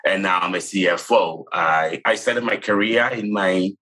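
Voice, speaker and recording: Ghanaian man, late 30s, deep and bright; thoughtful finance guest, restarts often. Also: moderate pace. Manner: thoughtful, with frequent restarts and "you know". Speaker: CFO guest. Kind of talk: interview, telling his career story.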